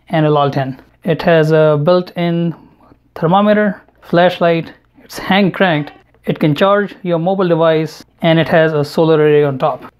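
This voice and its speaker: A man narrating an unboxing and product review in a measured, matter-of-fact way. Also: fairly high pitch. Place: indoor room at home, at a table. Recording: home recording with clear background noise. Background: noise, handling clicks.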